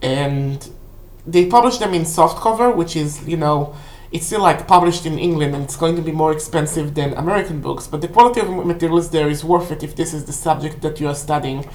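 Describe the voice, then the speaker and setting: A woman, a native Hebrew speaker, casually rambling through a book review for a vlog in a halting, self-correcting way. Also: deep voice, darkish tone. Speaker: book haul vlog host. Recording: roomy, echoey indoor sound.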